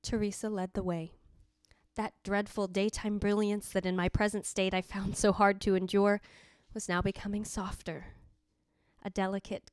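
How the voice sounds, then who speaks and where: A woman's voice: fairly low pitch, a little mellow, smooth, no echo, close to an everyday voice. Woman playing a brooding ghost wife, dry sound. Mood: wistful. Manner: literary, deliberate, dramatic phrasing. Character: jealous, brooding ghost of a dead wife, narrating. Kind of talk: old-time radio drama narration.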